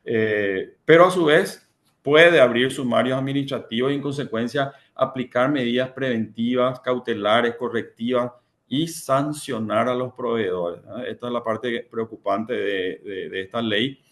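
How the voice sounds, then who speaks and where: A man presents as a panelist at a conference. He speaks at an average pitch. His delivery is halting, with frequent fillers and restarts. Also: a bit bright. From Paraguay. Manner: hesitant, many fillers and repeated words.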